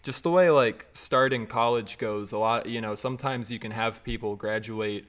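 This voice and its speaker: A man's voice: medium pitch, normal speed. Laid-back young man hosting a podcast. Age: 20s. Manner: laughs often, stumbles and repeats words. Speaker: podcast host. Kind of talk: casual video-call chat.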